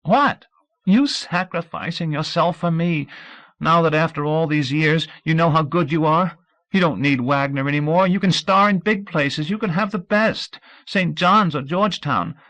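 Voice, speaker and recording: A man's voice: takes it slowly, gravelly, pauses often, husky, full character voice. A man doing a weeping, desperate teenage girl. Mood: anguished. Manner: pleading, high and strained. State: crying.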